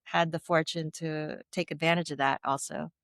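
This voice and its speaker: A woman's voice: sits low, steady tone, moderate pace, gravelly, fairly bright tone, no room sound, clean studio sound. A middle-aged woman from the Philippines, a former tech executive giving articulate, reflective interview answers with the odd light laugh.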